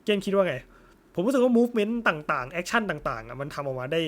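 A young man chatting casually about a film, thoughtful and often pausing to search for words. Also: high voice, very animated. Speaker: co-host.

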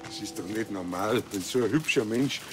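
A man's voice: on the low side, normal speed, over music, lightly acted. An actor playing a naive, self-satisfied village policeman, colloquial and musing, with a Bavarian accent.

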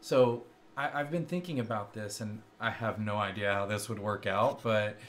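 A man's voice: fairly low pitch, normal speed, fairly bright tone, slight background noise. A middle-aged man with a Kentucky accent co-hosting a casual tech chat over a video call, upbeat and chuckling often as he explains things. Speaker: co-host. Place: indoor room on a video call. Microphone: wired earbuds on a video call.

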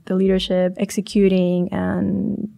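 A woman's voice: medium pitch, monotone, a little slow, gravelly, mellow. Thoughtful woman guest in a podcast studio. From Chile. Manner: thoughtful, hesitant, many restarts and self-corrections. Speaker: startup founder guest. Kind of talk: podcast interview. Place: small studio room. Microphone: studio boom mic.

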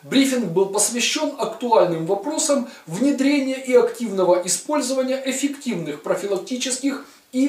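A man's voice: high-pitched, a little mellow. A man in his forties giving sarcastic political commentary to camera, in a mocking, ironic, emphatic way. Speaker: video blogger commentator. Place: studio with a virtual set. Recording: roomy, distant sound.

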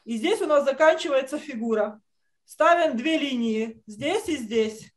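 A middle-aged woman with a high voice gives an online drawing lesson. She explains each step patiently, pausing and restarting as she instructs children over a video call. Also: expressive. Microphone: computer mic on video call.

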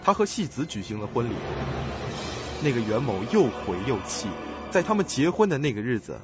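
Casting: male actor as uneasy young amateur investigator, radio play, highly expressive pitch, music underneath. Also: moderate pace, muffled recording, natural voice. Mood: urgent, storytelling. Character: earnest, uneasy young man investigating a mystery. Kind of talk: Chinese suspense radio drama acting.

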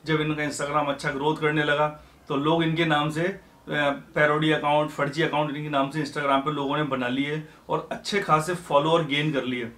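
A man's voice: fairly high pitch, little pitch movement, moderate pace, fairly bright tone. A man in his thirties, a YouTuber talking straight to camera in an earnest, explanatory way, calling his viewers friends. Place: indoor room with sofa and cushions.

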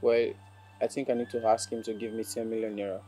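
Actor as a mellow, sly Nigerian schemer. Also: male voice, lowish voice, level tone, quick pace, soft background music, lightly acted. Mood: smug, scheming. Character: sly, confident male lover scheming to extort money. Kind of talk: low-key film drama acting.

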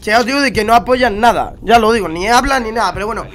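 A man's voice: high-pitched, quick pace, fairly bright tone. A young man from Spain with a Spanish accent, a bit stuffed up with a cold, chatting slangily to his viewers while gaming at home on a headset mic. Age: young adult. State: congested with a cold. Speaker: gaming YouTuber. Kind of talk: casual gameplay commentary. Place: home room at a gaming setup.

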